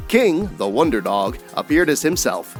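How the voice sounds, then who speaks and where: Lively male announcer over soft music. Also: mid-range voice, normal speed. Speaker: credits announcer. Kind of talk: scripted announcer read.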